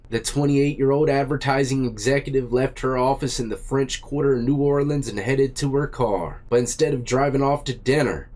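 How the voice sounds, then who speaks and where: Man, congested, casual true crime host, blunt and slangy, medium pitch. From Virginia. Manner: blunt, slangy, animated asides.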